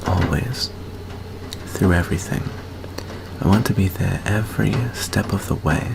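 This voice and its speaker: Very deep, gravelly, soft-spoken young man acting. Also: fairly even intonation, unhurried, noisy background, full character voice. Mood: anxious, tender. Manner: soft, hushed, hesitant, intimate. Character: anxious, affectionate young male vampire student, sentimental best friend. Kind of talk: ASMR roleplay acting.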